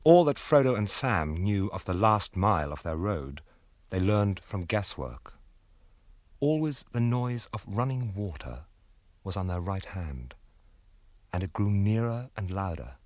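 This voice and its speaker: A man narrating an epic fantasy radio drama as a calm, formal storyteller. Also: low voice, a little slow, no room sound. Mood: calm narration.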